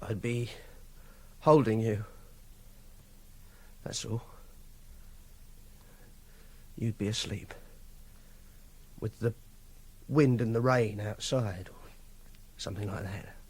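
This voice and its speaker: An actor playing a repressed, socially awkward young captor in a radio drama, halting and defensive. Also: male voice, on the low side, a little mellow, husky. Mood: halting, ashamed. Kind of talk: radio drama dialogue and confessional narration.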